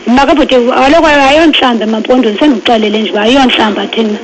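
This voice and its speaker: A woman's voice: fairly high pitch, very fast, crowd noise. A woman with an Eastern Cape South African accent, explaining things on the phone firmly and matter-of-factly, mixing in English. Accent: South African Xhosa-speaking, Eastern Cape. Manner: matter-of-fact, firm, mixes in English. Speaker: municipal employee taking a call. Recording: very noisy phone call over radio.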